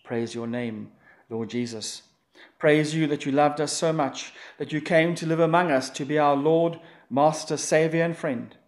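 A man's voice: a little high, fairly even intonation. Solemn man leading prayer and scripture. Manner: solemn, measured liturgical reading. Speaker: pastor. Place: church.

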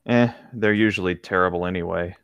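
A man's voice: sits low, fairly quick, fairly bright tone, clean tone, no room sound. A man co-hosting a read-along show, switching between casual chat and reading aloud. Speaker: co-host of a group read-along commentary show.

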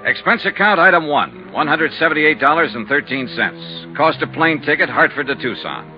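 An actor playing a cool, wry insurance investigator in a 1950s radio drama, speaking in crisp, clipped phrases. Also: male voice, fairly high pitch, sing-song, normal speed. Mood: dry narration. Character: cool, wry freelance insurance investigator narrating his case. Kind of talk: 1950s radio detective acting. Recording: dull 1950s radio recording with music.

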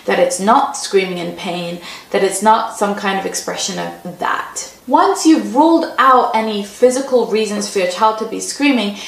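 A woman's voice: medium pitch, moderate pace, vocal fry. A woman giving parenting advice in a conversational way, often checking in with "right?" as she explains. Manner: conversational, often adds "right?" tag questions. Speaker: parenting coach. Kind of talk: advice talk answering a parent's question. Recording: roomy echo.

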